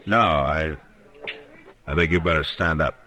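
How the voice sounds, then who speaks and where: Very deep, stern Old West marshal. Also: male voice, a little slow, bright, forceful tone, dull audio, full character voice. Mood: stern, pressing. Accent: American. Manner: terse, commanding, insistent. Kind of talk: old-time radio western acting. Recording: noisy vintage radio drama recording.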